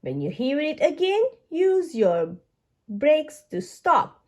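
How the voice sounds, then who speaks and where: A woman voicing friendly police officers who instruct children in a storybook, speaking deliberately and encouragingly. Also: sits high, sing-song, pitched above the performer's own voice, heavily acted. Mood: instructive. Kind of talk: storybook read-aloud.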